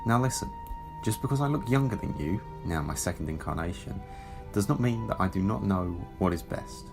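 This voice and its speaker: An actor playing an urgent, stern Time Lord ex-soldier in an audio drama, insistent and formal. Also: male voice, fairly low pitch, animated, brisk, creaky, music in the background. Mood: insistent, grave.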